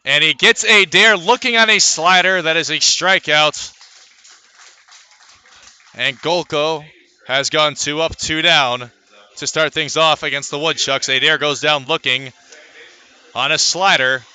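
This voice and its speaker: A man calling live baseball play-by-play as a radio announcer, filling the gaps between pitches with measured runs of stats. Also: fairly even intonation, sharp tone, clear, resonant tone. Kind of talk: live sports play-by-play commentary.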